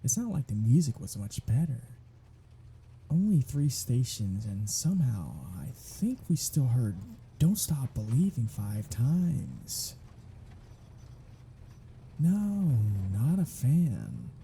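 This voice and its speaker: A performer voicing a cocky, sardonic, teasing vampire in an ASMR roleplay, with a breathy, husky voice and a drawling, sarcastic, playful way of talking. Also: mid-range voice, sing-song, takes it slowly, mellow, slight background noise, heavily acted. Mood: wry, sardonic. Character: cocky, sardonic, teasing, foul-mouthed vampire riding shotgun. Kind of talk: ASMR roleplay audio drama, one-sided conversation.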